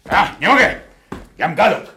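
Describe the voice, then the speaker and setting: An actor playing a fanatical, bloodthirsty count on horseback in a radio drama, chanting commands over and over. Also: male voice, high-pitched, very animated, normal speed, bright, forceful tone, a little hiss or hum, full character voice. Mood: frenzied. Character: fanatical galloping count, bloodthirsty revolutionary rider. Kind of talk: theatrical radio drama acting. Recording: 1980 radio play with music behind.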